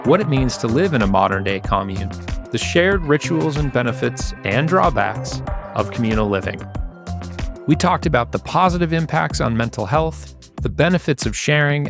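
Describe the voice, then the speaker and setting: A man hosting a podcast and interviewing guests in a conversational, presenterly way. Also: mid-range voice.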